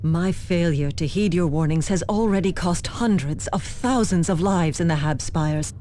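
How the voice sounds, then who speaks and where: A woman's voice: fairly low pitch, normal speed, mellow, a little hiss or hum, natural voice. A woman playing an earnest, formal planetary official under siege in a science fiction game, speaking precisely. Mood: anxious, contrite. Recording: clear music behind the voice. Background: game music.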